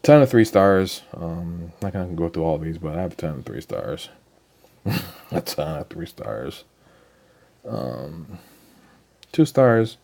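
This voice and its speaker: Low, dark-voiced young man with a cold. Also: animated, gravelly. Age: young adult. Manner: rambling, halting, many ums and repeated words. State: hoarse from a cold. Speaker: gaming channel host. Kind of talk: casual game account walkthrough.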